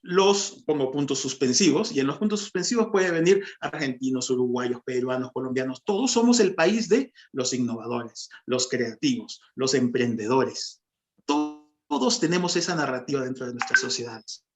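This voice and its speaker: A man in his thirties from Peru with a Peruvian Spanish accent, speaking as a panelist in measured, explanatory remarks and repeating phrases for emphasis. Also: medium pitch, animated.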